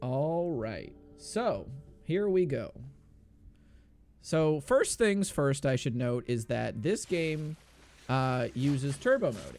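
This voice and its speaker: A man's voice: mid-range voice, a little mellow, a little hiss or hum. A man commentating a video game speedrun race, explaining in a laid-back way with lots of fillers.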